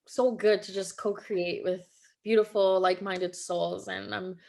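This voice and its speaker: A woman's voice: medium pitch, level tone, creaky, darkish tone. Earnest woman. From Quebec, Canada. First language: French. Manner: heartfelt, earnest, halting with many fillers. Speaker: podcast guest. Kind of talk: casual podcast interview.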